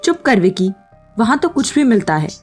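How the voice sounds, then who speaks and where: A woman's voice: a little high, big pitch swings, moderate pace, husky, soft background music. A woman playing a doting middle-aged mother who fusses and lightly scolds, in an audio story drama. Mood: doting, fussy.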